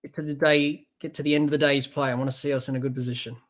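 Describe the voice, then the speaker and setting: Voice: mid-range voice, little pitch movement, rapid-fire. Chatty young Aussie podcast commentator. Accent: Australian. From Australia. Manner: chatty, rambling, reads out viewer comments. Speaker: podcast host. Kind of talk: casual live-stream cricket commentary.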